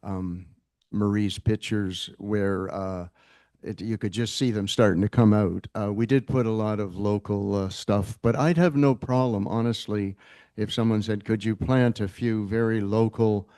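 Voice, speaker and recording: A man's voice: on the low side, steady tone, measured pace, dark tone, dry recording. A man speaking as an applicant at a council meeting, chatty and rambling, often breaking off mid-sentence. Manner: chatty, rambling, self-interrupting. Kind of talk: public comment at a meeting. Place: council chamber.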